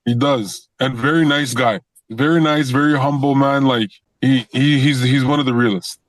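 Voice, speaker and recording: Young male wrestler guest, easygoing, rambling restarts, mid-pitched, dry mic. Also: moderate pace, no room sound. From Toronto, Canada. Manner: relaxed, repeats words and restarts often, laughs easily. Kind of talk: casual interview chat.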